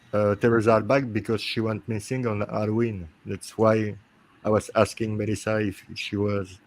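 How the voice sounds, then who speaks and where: Belgian man, low voice, hesitant. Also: darkish tone, low-quality recording. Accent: Belgian French. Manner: laughs easily, hesitant with fillers. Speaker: host. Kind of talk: casual chat. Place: home, streamed video call. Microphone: video call.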